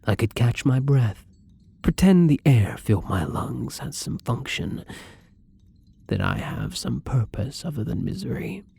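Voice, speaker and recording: A man playing a gentle, lonely, tormented vampire in an intimate ASMR roleplay, speaking softly and hesitantly in a breathy, brooding way, often near a whisper. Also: medium pitch, wildly swooping pitch, full character voice. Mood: brooding, haunted. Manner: slow, hesitant, brooding, tender. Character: melancholy young male vampire, gentle, lonely, tormented, once human.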